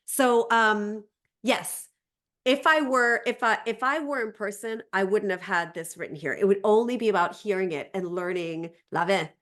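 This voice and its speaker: A woman's voice: mid-range voice, normal speed, fairly bright tone. Woman teacher explaining in conversation. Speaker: Ancient Greek teacher, interview guest.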